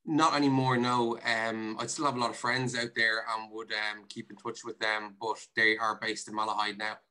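Irish male podcast guest, bright forward voice, level intonation, reflective and measured. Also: on the low side, flat delivery, clear, resonant tone, rough recording. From Ireland. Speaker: podcast guest, investment and finance director. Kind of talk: conversational interview answers.

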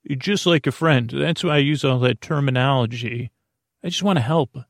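Creaky-voiced man rambling for bedtime. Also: mid-range voice, no room sound, clean studio sound. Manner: meandering, halting, trailing off into tangents. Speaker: podcast host. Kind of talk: rambling bedtime monologue for a sleep podcast.

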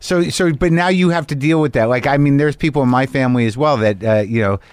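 A man's voice: medium pitch, fast, clean tone, dry recording. A middle-aged man hosting a casual interview in a home garage studio. He rambles, trails off and restarts, and swears freely. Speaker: podcast host. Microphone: studio mic, close.

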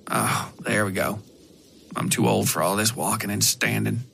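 A man's voice: on the low side, steady tone, normal speed, gravelly, a bit bright, slight background noise. A man voicing a plain-spoken, stubborn old country widow in a storybook tale, folksy and no-nonsense. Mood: folksy, weary.

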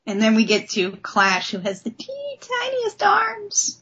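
A woman's voice: high-pitched, wildly swooping pitch, measured pace, fairly bright tone, highs cut off, slight background noise. A woman hosting a casual comic book discussion, speaking in an enthusiastic, informal way. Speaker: podcast host.

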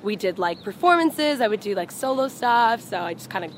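A woman's voice: medium pitch, animated, a little fast, slight background noise. A young woman from Los Angeles, a singer, chatting casually in an interview, giggly and full of "like" and "um".

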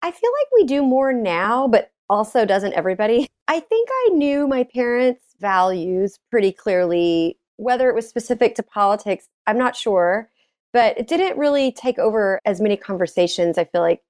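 A woman's voice: medium pitch, expressive, moderate pace, darkish tone, no echo, studio quality. A middle-aged woman from Texas answering interview questions in a casual, reflective conversational way. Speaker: interview guest, gun violence prevention advocate.

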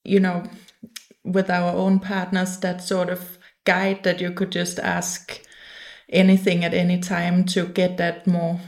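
A woman from Denmark, speaking English as a second language, chats as a podcast guest. Her voice sits fairly low and stays flat and level as she explains things in a measured way. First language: Danish. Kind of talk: casual chat.

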